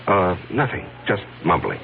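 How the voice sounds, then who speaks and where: Troubled, deep-voiced executive. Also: male voice, huge pitch swings, gravelly, exaggerated voice. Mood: polite, uneasy. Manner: polite, halting, earnest. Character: troubled, evasive male executive with a secret. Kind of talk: radio drama acting. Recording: muffled radio recording with loud music.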